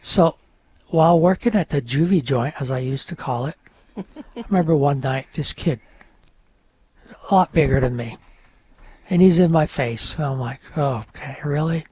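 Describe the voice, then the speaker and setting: Halting French-speaking man, breathy voice. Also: fairly high pitch, warm tone. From North Country of New York. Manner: rambling, halting, many uh fillers. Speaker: radio guest. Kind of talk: telling his life story.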